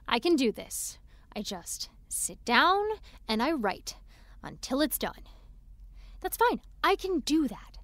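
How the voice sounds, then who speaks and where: A woman's voice: high-pitched, a bit bright, full character voice. A woman playing a scattered, anxious young fan fiction writer, talking herself along in a naturalistic audio drama monologue. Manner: self-coaching, chatty, halting.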